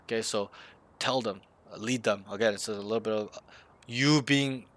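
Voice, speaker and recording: A man's voice: fairly low pitch, normal speed, a bit bright. A man coaching a player over voice chat, talking directly, acting out team callouts and swearing casually. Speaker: game coach.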